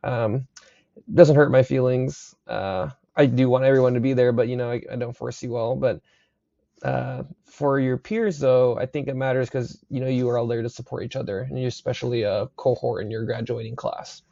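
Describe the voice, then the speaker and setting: A young man recording a university engineering lecture late at night, his voice raspy, talking casually with many fillers and checks like "right?". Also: medium pitch, fairly even intonation, moderate pace, warm tone, no echo. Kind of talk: recorded lecture over slides. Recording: slightly dull dry recording.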